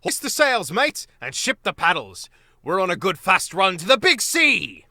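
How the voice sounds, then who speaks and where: A man's voice: high-pitched, a little slow, gravelly, no room sound, clean studio sound, pitched above the performer's own voice, full character voice. A man voicing a boisterous male shrew chieftain who bellows orders and sea shanties. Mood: jolly. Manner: loud, jolly, bellowing. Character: boisterous male shrew chieftain, a hearty bass-voiced sailor.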